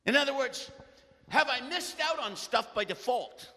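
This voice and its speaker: A man preaching a sermon with a loud voice and animated storytelling, often clearing his throat. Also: very high-pitched, moderate pace, long reverb tail.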